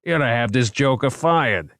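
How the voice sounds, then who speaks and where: A man's voice: medium pitch, expressive, moderate pace, fairly bright tone, dry recording, clean studio sound, pitched above the performer's own voice. A man voicing a brash, impatient male police lieutenant who talks bluntly, in an audiobook. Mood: impatient, exasperated. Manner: blunt, exasperated.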